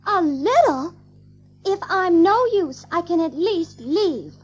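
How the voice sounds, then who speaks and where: A woman's voice: extremely high pitch, animated, slow, exaggerated voice. A woman playing a prim and proper, motherly young girl in an audio drama of a storybook. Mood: offended.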